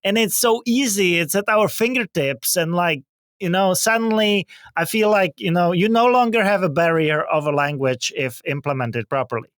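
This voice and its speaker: A podcast host with a Czech accent, whose voice sits high for a man and sounds smooth and resonant. He talks casually and haltingly, with lots of fillers. The sound is dry and close. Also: a bit bright, no room sound. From Czech Republic. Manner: hesitant, many fillers and restarts. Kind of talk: casual interview chat.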